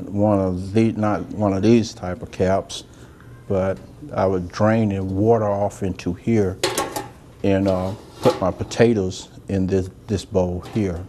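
A soft-spoken middle-aged chef talks through a recipe in a homey, rambling way. His voice sits low and mellow. The demo kitchen recording carries a faint hiss underneath. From Georgia, US. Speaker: chef and cooking show host. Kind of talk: unscripted cooking demonstration. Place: indoor demo kitchen set.

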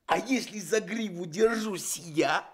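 A man playing a naive, cheerful straw scarecrow with a husky voice and eager manner in a children's radio play. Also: sits high, slow pace, full character voice. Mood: eager, reasoning. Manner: eager, simple-minded step-by-step reasoning.

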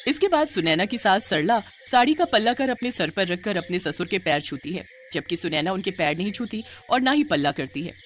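A woman's voice: mid-range voice, expressive, fairly quick, few pauses, a little hiss or hum. A woman narrating a scripted family moral story.

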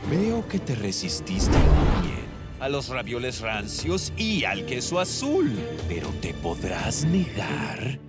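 A man voicing a jumpy, melodramatic man in an animated children's series. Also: medium pitch, slow pace, hardly any pauses. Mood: menacing. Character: jumpy, dramatic cartoon man hunting a housefly. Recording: loud music bed under the dialogue.

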